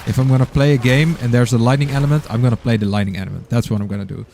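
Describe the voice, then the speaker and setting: A man's voice: medium pitch, fairly even intonation, rapid-fire, vocal fry, dark tone, slight background noise. Dutch-accented man rambling over gameplay. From Netherlands. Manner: rambling, searching for words. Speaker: game streamer. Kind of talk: casual gameplay commentary.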